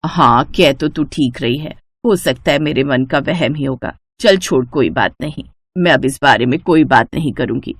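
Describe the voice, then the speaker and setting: A woman voicing a kindly, concerned older mother-in-law in a family story, gentle and motherly. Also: rumbling low, normal speed, hardly any pauses, husky, no echo, clean studio sound, pitched below the performer's own voice, heavily acted. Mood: resigned. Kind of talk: Hindi family storybook dialogue.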